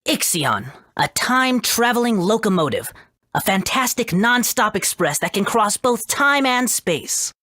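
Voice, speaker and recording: A woman voicing an excitable, friendly little scientist creature in a video game, with a showy, emphatic delivery. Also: medium pitch, measured pace, no room sound, clean studio sound. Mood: proud, enthusiastic. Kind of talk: English video game voice acting.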